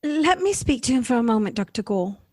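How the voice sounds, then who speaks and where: A troubled, tender-hearted wife acted by a woman in a radio drama. The voice is high and dry, with an earnest, formal way of questioning and appealing. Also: big pitch swings, a little fast, darkish tone, dry recording. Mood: worried.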